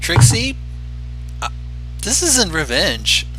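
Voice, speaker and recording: A man's voice: high voice, big pitch swings, slow, pushed and bright, faint music behind, rough recording. A man giving blunt, sardonic reaction commentary in a casual way as a co-host. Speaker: co-host commenting on a fan fiction reading.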